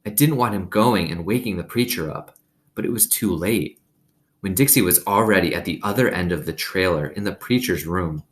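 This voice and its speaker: A man with a low-pitched voice reads a children's novel aloud. He narrates expressively, as a storyteller would for young listeners. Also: normal speed.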